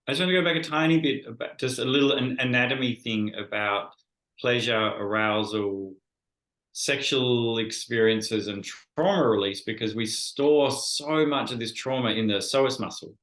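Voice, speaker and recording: A man's voice: medium pitch, moderate pace, fairly bright tone. A man speaking thoughtfully and measuredly as an interview guest, sometimes restarting his sentences. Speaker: guest, bodywork practitioner. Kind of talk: conversational interview. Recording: echoey room.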